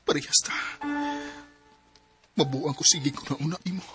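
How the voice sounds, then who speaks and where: A man's voice: a little high, moderate pace, over music, natural voice. A man with a Filipino accent acting an evasive, wistful married man torn over a secret lover in a radio drama. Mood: resolute, wistful. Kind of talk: radio drama acting with first-person narration.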